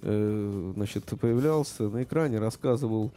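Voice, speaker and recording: A middle-aged man with a very dark, mellow voice. He talks casually about politics and stumbles often, filling pauses and restarting his sentences. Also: fairly low pitch. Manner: hesitant, many fillers and restarts.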